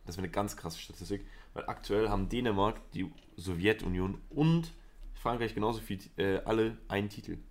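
Deep voiced guy cohosting a podcast, joking around, rambly. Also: a little fast. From Southern Europe. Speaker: podcast co-host. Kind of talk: casual chat.

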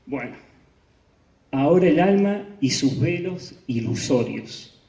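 A man with an Argentine accent giving an informal spiritual lecture over slides, halting often and restarting with fillers. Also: a little high, lively intonation, mellow. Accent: Argentine Spanish. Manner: halting, full of pauses and "eh, bueno" restarts. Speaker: spiritual teacher presenting slides. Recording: roomy, distant, dull lo-fi sound.

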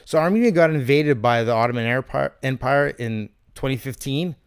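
A man in his thirties talking politics casually, animated and questioning, with some swearing, as a podcast host. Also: mid-range voice, normal speed, smooth, no echo, studio quality. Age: 30s.

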